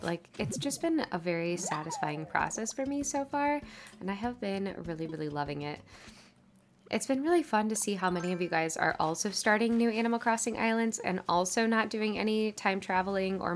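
A woman's voice: fairly low pitch, fairly bright tone. A woman with a stuffy, congested nose, chatting casually over her gameplay as a channel host. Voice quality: slightly nasal. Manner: chatty, upbeat, rambling.

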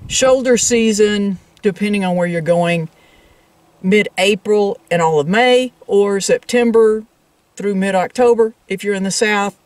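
A woman's voice: medium pitch, measured pace, no room sound, recorded from a distance. A woman co-hosting a travel vlog, explaining things in casual, conversational talk addressed straight to viewers. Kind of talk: casual explanatory chat to camera.

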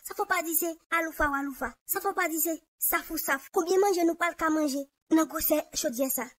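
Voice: extremely high pitch, brisk, fairly bright tone, dry recording. A performer with a Haitian accent voicing an aggrieved, insistent young male cartoon sidekick who grumbles and repeats himself. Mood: defensive, indignant. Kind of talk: Haitian Creole cartoon dialogue.

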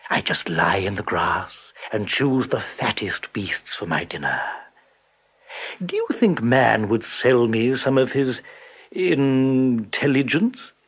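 A sly, menacing tiger, put on by a man reading a storybook. The voice purrs low and slow, sliding into husky half-whispers. Its pitch rolls lazily up and down as it schemes. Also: very animated, full character voice. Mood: greedy musing.

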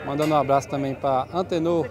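A man vlogging his walk through an outdoor livestock market, greeting sellers in a brisk, friendly, chatty way. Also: a little high, steady tone, heavy background noise. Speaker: vlogger touring a cattle market. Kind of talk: casual on-the-spot vlog, asking sellers prices. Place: outdoor livestock fair pens. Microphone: handheld phone camera. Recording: outdoor market with loud crowd and cattle.

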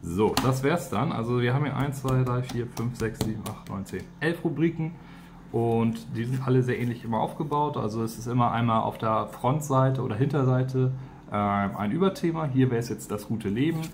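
A man's voice: medium pitch, normal speed, darkish tone, a little hiss or hum. A man giving a casual, unscripted product walkthrough, informally thinking aloud as he goes. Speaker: product reviewer and teacher.

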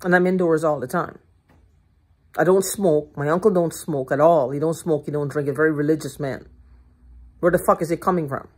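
Husky-voiced older woman venting. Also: low-pitched, a little fast, darkish tone. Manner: heated, profane, repetitive, restarting words. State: hoarse throat. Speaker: vlogger. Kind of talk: agitated rambling monologue to camera. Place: living room at home, indoors. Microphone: phone held at arm's length.

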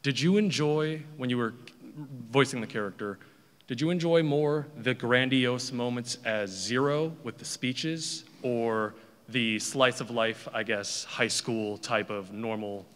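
A man's voice: mid-range voice, a little slow, fairly bright tone. A man asking a casual question as a fan in the audience at a panel. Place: large convention panel room. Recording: reverberant hall sound.

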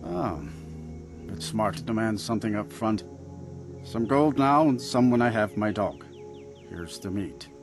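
A man playing a plain-spoken village blacksmith in a video game, gruff, direct and practical. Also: fairly low pitch, animated, unhurried, pauses often, music in the background. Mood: businesslike. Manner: direct, matter-of-fact. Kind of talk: video game character acting.

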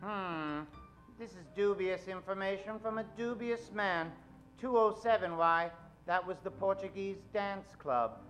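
Slow, theatrical male radio announcer. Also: high-pitched, a bit bright, faint music behind. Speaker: radio show host and narrator. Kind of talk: old-time radio narration. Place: indoor theatre stage. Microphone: vintage-style stand microphone.

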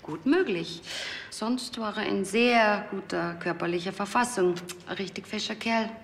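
An actress playing a matter-of-fact forensic pathologist with a dryly teasing manner in a TV crime comedy. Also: female voice, mid-range voice, a little slow, creaky.

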